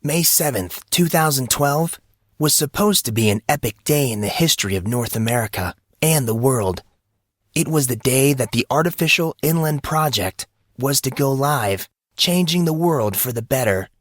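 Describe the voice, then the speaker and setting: Male narrator as teenage boy newsreader, raised pitch, pompous, smug, theatrical oratory, audio drama, dry recording. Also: expressive, normal speed, no echo, natural voice. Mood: grandiose. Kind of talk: mock news broadcast, audio story.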